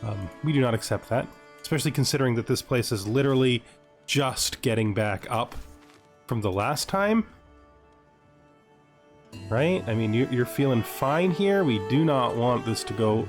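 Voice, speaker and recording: A man's voice: mid-range voice, animated, moderate pace, long pauses. A man giving casual gameplay commentary, chatting and thinking aloud as he hosts. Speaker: host of a gaming series. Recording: music playing behind the voice.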